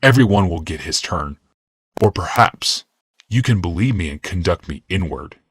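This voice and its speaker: Guy doing an arrogant menacing warrior for an audiobook, low voice, imperious and deliberate. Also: expressive, husky, no room sound, studio quality. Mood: cold threat. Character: commanding, menacing, arrogant male warrior-healer.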